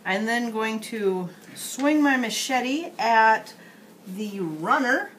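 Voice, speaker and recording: A woman's voice: a little high, animated, takes it slowly, fairly bright tone, echoey room, slight background noise. A middle-aged woman casually narrating her moves in a card game, recorded across a table in a small room. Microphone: handheld camera across the table.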